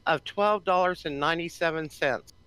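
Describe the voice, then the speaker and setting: A woman's voice: sits low, normal speed, fairly bright tone, low-quality recording. A woman in a casual live-stream chat with a voice that carries, speaking hesitantly with many ums.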